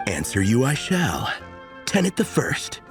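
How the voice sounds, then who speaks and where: A man's voice: medium pitch, wildly swooping pitch, a little slow, gravelly, full character voice. A man playing a bombastic, zealous young fanatic in a video game, declaiming in a pompous, grandiose way. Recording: faint music behind the voice.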